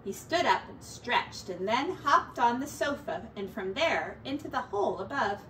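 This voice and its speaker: A middle-aged woman reading a children's storybook aloud like a teacher, in a measured, dramatic way. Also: on the high side, lively intonation, fairly bright tone. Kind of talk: expressive children's storybook narration. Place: small attic-style bedroom, indoors. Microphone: distant camera mic. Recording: roomy, distant mic, faint noise.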